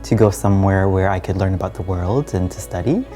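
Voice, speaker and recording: A man's voice: low voice, fairly quick, music in the background. A young man from Trinidad and Guyana telling his personal story in a reflective, earnest way, as in a documentary interview. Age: young adult.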